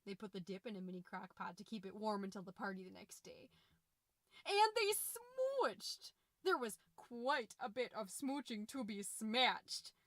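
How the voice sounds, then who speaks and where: A woman's voice: mid-range voice, big pitch swings, fairly bright tone, no room sound. A woman playing a chatty, dramatic suburban mom who hosts a comedic book-club podcast, with folksy, theatrical delivery. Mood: chirpy, dramatic. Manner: theatrical, folksy, alliterative, drawn-out emphasis. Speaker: podcast host. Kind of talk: scripted comedic podcast monologue.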